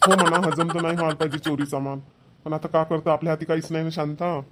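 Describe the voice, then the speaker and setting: A man acts a worried village farmer in a cartoon comedy. The voice sits fairly high for a man and grumbles. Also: flat delivery, quick pace, pushed and bright. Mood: worried, resolute.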